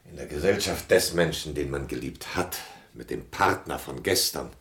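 Aging ironic lover, very deep clouded voice, world-weary, theatrical, radio drama. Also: male voice, moderate pace, fairly bright tone, husky, exaggerated voice. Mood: bitter, pleading. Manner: theatrical, swinging from sardonic to brooding. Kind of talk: radio play acting.

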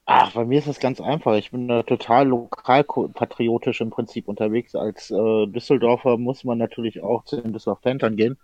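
A man's voice: medium pitch, steady tone, fairly quick, few pauses, darkish tone, no echo, lo-fi sound. A man co-hosting a casual podcast, telling stories at length with lots of fillers and restarts. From Germany. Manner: chatty storyteller, many fillers and restarts. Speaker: podcast co-host. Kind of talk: casual chat.

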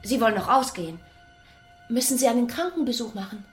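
An actress playing a trusting, open-hearted young daughter of the house in a radio drama. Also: female voice, fairly high pitch, expressive, a little fast, close to an everyday voice. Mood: innocent, curious. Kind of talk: German radio drama acting. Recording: music behind the voice.

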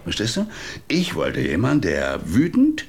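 An actor playing a coarse, scolding crime boss who hands out jobs to a hired killer in a radio drama. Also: male voice, fairly low pitch, wildly swooping pitch, a little slow, breathy edge, exaggerated voice. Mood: businesslike.

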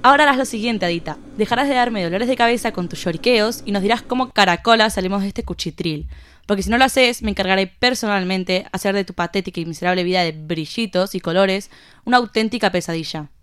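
Woman as a brash, clear-voiced farm girl heroine. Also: medium pitch, fast, fairly bright tone, no room sound, clean studio sound, natural voice. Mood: menacing. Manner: sarcastic, brash. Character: bossy, impulsive, foul-mouthed farm girl heroine. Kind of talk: comic audio drama acting.

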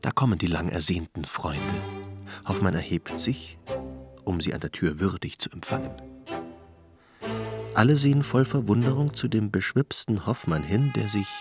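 A man's voice: lowish voice, normal speed, long pauses, lightly acted. A man narrating a literary radio drama. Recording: music under the voice. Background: music.

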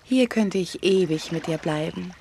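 An actress playing a dreamy gangster's bride in a German radio comedy, sighing and sweetly cajoling. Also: female voice, on the low side, normal speed, mellow, slight background noise, close to an everyday voice.

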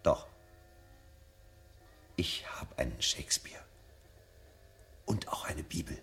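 A man's voice: extremely low pitch, animated, slow, pushed and bright, in a whisper, faint music behind, exaggerated voice. An actor playing an earnest, insistent man searching for answers in a German radio drama, polite but urgent. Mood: secretive, urgent. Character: earnest, insistent man seeking forbidden knowledge.

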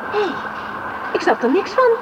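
A woman's voice: extremely high pitch, big pitch swings, moderate pace, lo-fi sound. An actress playing a practical, fussing, caring mother in a radio play, a woman easily worried. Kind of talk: radio play acting, natural dialogue. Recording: noisy radio play sound scene.

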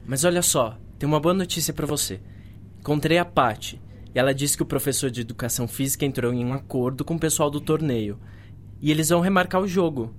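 A man's voice: a little high, fast, a little hiss or hum, natural voice. An actor with a Brazilian accent playing a casual, teasing teenage boy in a radio soap. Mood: upbeat. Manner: slangy, teasing. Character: Marquinho, casual, teasing teenage boy, girl's close friend.

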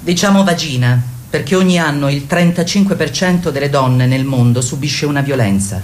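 A woman's voice: low voice, a little fast, darkish tone, lightly acted. Actress as declamatory woman therapist, noisy recording. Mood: grave. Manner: didactic, declamatory. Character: assertive female therapist leading a women's group. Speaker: leader of a therapy group. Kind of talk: theatrical radio play monologue.

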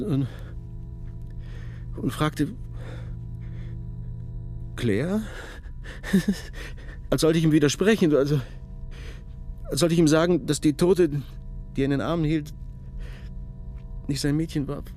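An actor playing a shell-shocked police detective in a German radio crime drama, speaking haltingly and repeating himself, overwhelmed with emotion. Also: male voice, a little high, mellow, faint music behind. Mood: distraught, halting.

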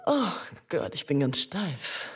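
A cool young socialite in a radio play. Her voice is very low and husky, yet slides widely in pitch. She is languid, nonchalant and bluntly direct. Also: very animated, slow, bright, forceful tone, full character voice. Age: young adult. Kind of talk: German radio play acting.

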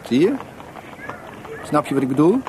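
A man's voice: on the high side, very animated, normal speed, noisy background. A man acting in a Dutch radio play as a jovial, cheeky clarinettist, bantering and needling his friend. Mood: teasing.

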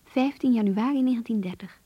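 A woman's voice: a little high, fairly quick, breathy edge, no room sound, dull audio. An actress playing an earnest, melancholy young office clerk reading her diary in a radio drama, introspective and subdued. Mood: earnest, reflective.

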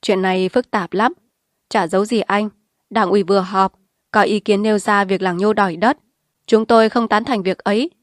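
Woman doing a calm middle-aged male official, measured, even-toned, dry close storybook reading. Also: medium pitch, no room sound, natural voice.

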